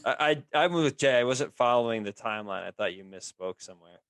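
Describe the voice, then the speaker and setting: A man hosting a podcast in casual chat, teasing and firing off quick follow-up questions. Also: mid-range voice, lively intonation, fairly bright tone, no echo, studio quality.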